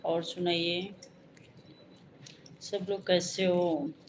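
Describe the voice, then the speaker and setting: Slow, level-voiced woman live-streaming. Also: lowish voice, slight background noise. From Uttar Pradesh, India. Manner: calm, greets commenters by name and asks for likes. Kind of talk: casual live-stream chat greeting viewers.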